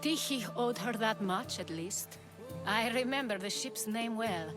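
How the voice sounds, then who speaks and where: An actress playing a worldly, self-possessed and wistful confidante in a dramatic video game cutscene. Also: female voice, fairly high pitch, unhurried, natural voice. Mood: wistful, reflective. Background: prominent music.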